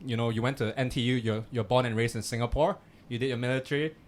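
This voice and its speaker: A man's voice: medium pitch, fairly even intonation, quick pace. A young man hosting a podcast, chatty and loose in his phrasing, with frequent fillers. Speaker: podcast host. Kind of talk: casual podcast interview.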